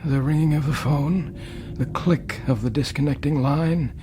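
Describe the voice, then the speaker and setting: A man playing an elderly, lonely man in a radio drama, brooding and rueful, with halting, gravelly speech. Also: on the high side, fairly even intonation, mellow, a little hiss or hum, natural voice. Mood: wistful. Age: older. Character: elderly lonely man, rueful, haunted by his own past.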